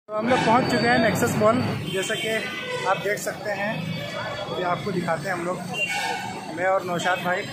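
A man's voice: high-pitched, steady tone, normal speed, rough recording. Chatty young man vlogging in a mall. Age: young adult. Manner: chatty, repeats himself, addresses viewers. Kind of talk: casual vlog narration. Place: busy shopping mall and street at night. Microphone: phone camera at arm's length. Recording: phone vlog with loud music and crowd. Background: loud music and crowd.

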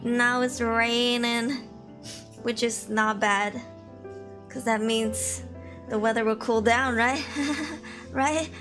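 A woman's voice: on the high side, fairly even intonation, slow pace, long pauses, over music. A young woman chatting casually with livestream viewers, bubbly and giggly, her voice tired and sniffly. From Malaysia. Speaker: VTuber streamer. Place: home room.

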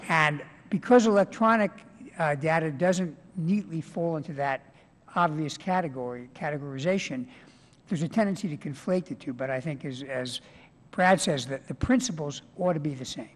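An older man testifying at a hearing, explaining his point with examples and a few hesitant fillers into a table microphone. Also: a little high. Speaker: hearing witness. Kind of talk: congressional testimony. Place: large hearing room. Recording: reverberant hearing room.

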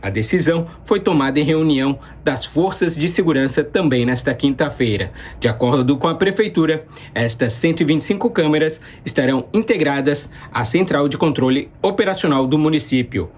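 A man in his thirties reads a news report in a measured, formal newsreader manner. His voice sits a little high for a man. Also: animated, a little mellow.

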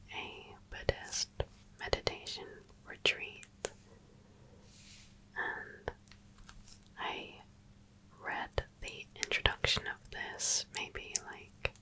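Female ASMR reader: breathy whisper, crisp bright sibilance, soothing, unhurried meditation-guide delivery. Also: low-quality recording. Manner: slow, sleepy, soothing delivery. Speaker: ASMR channel host.

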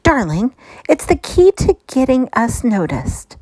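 A woman doing a gushing, excitable young girl hamster. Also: sits high, unhurried, dry recording, voice pushed up, exaggerated voice, poor audio. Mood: proud, gushing. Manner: gushing, dramatic. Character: excitable, vain young female hamster chasing glamour. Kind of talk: children's storybook character voice.

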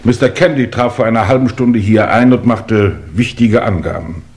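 A male actor as a grave police investigator in a fifties radio drama. He sounds courteous and official, speaking in a fairly low, measured voice. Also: darkish tone, natural voice. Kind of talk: 1950s German radio play acting.

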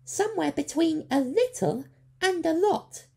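A man voicing a timid, squeaky male creature who gives muddled directions. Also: lively intonation, a little slow, gravelly, mellow, full character voice. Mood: innocent. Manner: innocent, nervous, muddled.

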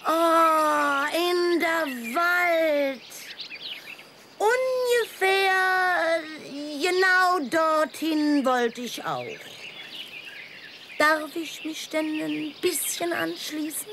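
A woman voicing a small, playful, coaxing piglet with a squeaky voice in a children's audio play. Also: very slow, background noise, exaggerated voice.

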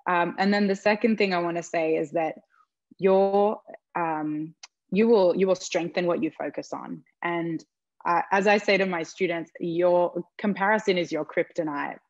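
A low-pitched woman in her thirties speaks earnestly on a video-call panel, encouraging the listener. The sound is dry and close, a little dull like laptop audio. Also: steady tone, moderate pace, dry recording. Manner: earnest, encouraging advice-giving. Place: home room with plain walls.

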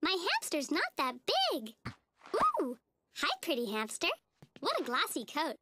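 A woman voicing a naive, sweet, relentlessly cheerful little schoolgirl in a TV cartoon, with a childlike squeaky voice and a gushing, singsong, oblivious manner. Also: big pitch swings, unhurried, pushed and bright, slight background noise, heavily acted. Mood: chatty, sweet. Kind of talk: animated TV cartoon acting. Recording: music playing behind the voice.

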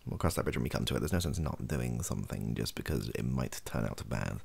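British male, 30s, extremely low and croaky, soft ASMR delivery, loose self-deprecating ramble. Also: animated, brisk. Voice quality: soft, gravelly, husky. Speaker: video host, ASMR creator. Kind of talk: improvised softly spoken ASMR ramble. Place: small bedroom office, indoors.

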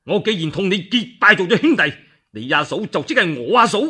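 Man playing a stern, forceful Cantonese general. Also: high-pitched, animated, a little fast, husky, voice pushed up, exaggerated voice. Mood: outraged. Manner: righteous, forceful, emphatic. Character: upright, stern male warrior general, quick to righteous anger. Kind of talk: radio storytelling character.

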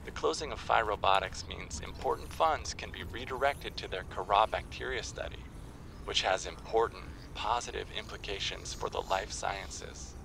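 A gravelly corporate boss. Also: male voice, on the low side, moderate pace, sharp tone, a little hiss or hum, poor audio. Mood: briskly upbeat. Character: smooth male corporate boss, upbeat and detached. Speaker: corporate executive announcing updates. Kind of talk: in-game recorded message.

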